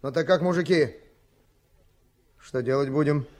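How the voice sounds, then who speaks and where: A resolute working-class crew boss acted by a man with a slightly high voice. He speaks plainly and deliberately, in the manner of an old radio drama. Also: darkish tone, close to an everyday voice. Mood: frank, consulting. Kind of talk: Soviet radio drama acting.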